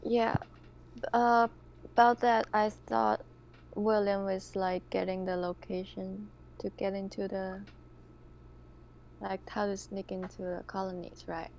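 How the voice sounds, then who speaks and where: A woman's voice: medium pitch, little pitch movement, unhurried, darkish tone, highs cut off. A woman chatting casually as a player in a tabletop roleplaying game. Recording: faint background noise and room ambience.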